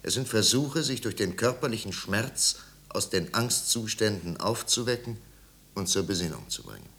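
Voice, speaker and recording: A man's voice: on the low side, big pitch swings, normal speed, sharp tone, exaggerated voice. A man narrating a literary tragedy in a 1950s radio play, grave and intense, with careful articulation. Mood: somber.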